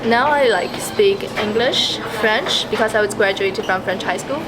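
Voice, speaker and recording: A woman whose first language is Mandarin, answering street questions thoughtfully and pausing to find her words. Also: medium pitch. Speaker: interviewee. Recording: noisy outdoor street audio.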